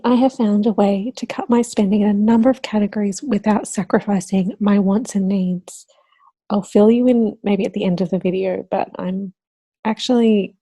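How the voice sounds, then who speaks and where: A woman from Australia hosting her budgeting channel, talking casually to camera with a slightly croaky voice. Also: mid-range voice, warm tone, no room sound, rough recording.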